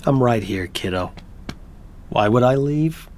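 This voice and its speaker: A man plays a loyal, reassuring sidekick in a radio play. His voice is medium-pitched, with clear ambient noise around it. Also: lively intonation, measured pace, close to an everyday voice. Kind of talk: radio drama acting.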